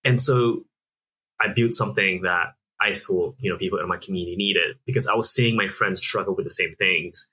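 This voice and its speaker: Guest, male, 30s, from Singapore; low husky voice, earnest explainer. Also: a little fast, bright, forceful tone, low-quality recording. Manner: earnest, explanatory, with frequent restarts. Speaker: app founder guest. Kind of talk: conversational interview answers.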